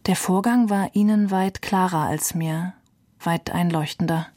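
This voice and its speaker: A woman's voice: on the low side, measured pace, darkish tone. A woman giving a scripted literary reading of memoir and novel passages. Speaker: reader of literary quotations.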